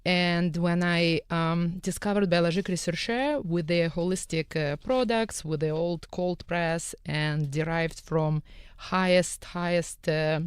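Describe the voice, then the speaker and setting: A woman's voice: fairly low pitch, steady tone, a little slow, hardly any pauses, clean tone, no room sound, studio quality. A woman from Eastern Europe with a Russian accent, a guest on a radio panel who talks earnestly and often drops articles. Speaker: guest, skin care salon owner. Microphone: studio microphone.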